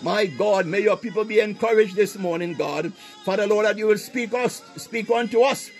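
High-voiced man in fervent prayer over music. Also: normal speed. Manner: impassioned, punctuated with repeated exclamations of praise. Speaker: preacher leading a morning prayer.

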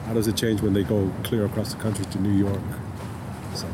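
A man's voice: on the low side, flat delivery, a little fast. A man in his thirties from Tonga, whose first language is Tongan, speaking thoughtfully in an interview and often restarting his sentences. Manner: thoughtful, searching, many restarts. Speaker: artist and guest. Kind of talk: reflective conversational interview. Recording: noisy background.

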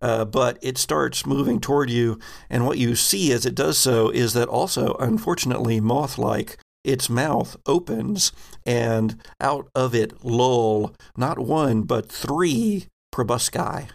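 A man narrating a tabletop role-playing game as game master, describing scenes in a halting way with pauses. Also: mid-range voice, creaky.

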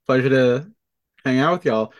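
Deadpan male podcast guest from Canada. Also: mid-range voice, normal speed. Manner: deadpan joking. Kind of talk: casual chat.

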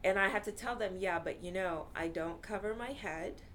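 A woman's voice: mid-range voice, normal speed, fairly bright tone, a little hiss or hum. Earnest woman therapist chatting casually. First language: Urdu. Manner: earnest, frequent "you know" fillers. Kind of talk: casual interview talk.

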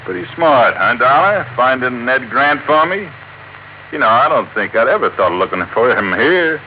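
An actor playing a menacing, smirking American gangster, taunting and slangy, in a 1950s radio crime drama. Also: male voice, medium pitch, expressive, normal speed, lightly acted. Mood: smug, threatening. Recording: muffled old radio recording, faint noise.

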